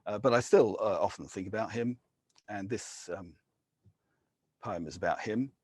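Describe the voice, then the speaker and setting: An English man giving a measured poetry reading, with soft, hesitant asides between poems. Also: fairly low pitch, husky, no room sound. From England. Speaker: poet.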